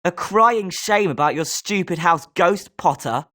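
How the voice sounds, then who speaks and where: A man's voice: sits high, animated, measured pace, bright, forceful tone, heavily acted. A man playing a British schoolboy wizard in a video game, speaking scripted lines expressively. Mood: sneering. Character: schoolboy wizard student, polite but sometimes sneering.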